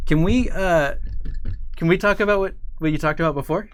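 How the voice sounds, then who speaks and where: A man's voice: on the high side, fairly quick. A man hosting a live stream, chatting informally and playfully with his audience as he rambles through announcements.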